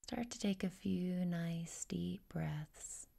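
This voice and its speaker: A woman guides a meditation in a soft, mellow voice that sits on the low side. Her delivery is very slow and gentle, with a husky, whispery breathiness and a creaky edge. She gives each instruction in a soothing, unhurried way.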